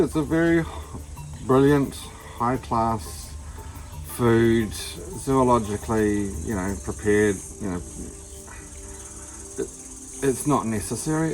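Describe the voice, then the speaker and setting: A man with a New Zealand accent, casually chatting about his tortoises in his garden, in a self-described monotone that drifts off topic. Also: fairly low pitch, poor audio. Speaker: tortoise keeper and channel host. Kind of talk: casual rambling vlog. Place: outdoor garden with tortoise enclosure.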